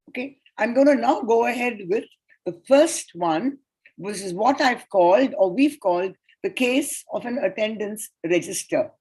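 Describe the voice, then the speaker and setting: A woman whose first language is Tamil, presenting an academic paper at an online conference in a measured, deliberate way. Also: mid-range voice, a little mellow, husky, rough recording. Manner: measured, deliberate lecturing with enumerated points.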